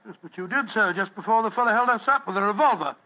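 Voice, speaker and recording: Man acting a high-voiced, blustery British gentleman sidekick. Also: fast, lightly acted. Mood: baffled, shocked. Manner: blustery, exclaiming. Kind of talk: old-time radio drama acting. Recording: noisy, dull vintage radio recording.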